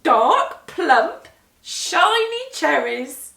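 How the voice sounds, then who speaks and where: A woman voicing a kindly, cheerful motherly woman from a storybook, with drawn-out, emphatic exclamations. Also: very high voice, big pitch swings, creaky, pushed and bright, reverberant, recorded from a distance, voice pushed up, full character voice. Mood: relishing.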